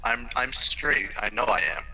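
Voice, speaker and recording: A man's voice: medium pitch, normal speed, bright, forceful tone, low-quality recording. A young man as a podcast guest, brash and joking, swearing freely. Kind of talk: casual chat. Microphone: headset mic.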